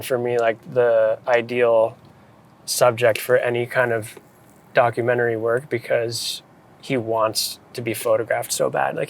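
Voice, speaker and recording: A man's voice: mid-range voice, slight background noise. An American man from New England chatting casually and thoughtfully as an interviewed photographer, speaking informally. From United States, New England. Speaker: photographer and director being interviewed. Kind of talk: casual reflective chat.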